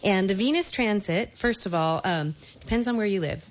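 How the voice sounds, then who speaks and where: A woman's voice: medium pitch, normal speed. A woman co-hosting a radio talk show, presenting a news item in a chatty way with frequent ums. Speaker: radio co-host. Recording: dull muffled broadcast with faint noise.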